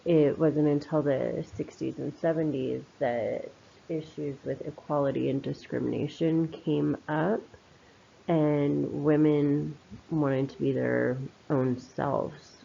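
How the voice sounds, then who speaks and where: A woman's voice: low-pitched, steady tone, takes it slowly, vocal fry, mellow, slight background noise. A woman giving an informal spoken commentary in a reflective, opinionated, conversational manner. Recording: slightly dull, lo-fi voice recording.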